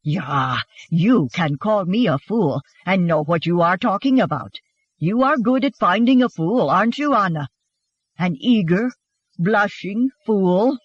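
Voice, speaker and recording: An angry young Swedish immigrant husband from a storybook , acted out by an audiobook narrator. He is stubborn and bitter, with sarcastic, shouted lines that swing widely in pitch. The voice is a little husky. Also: lively intonation, normal speed, no echo, muffled recording. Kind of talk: dramatised audiobook dialogue.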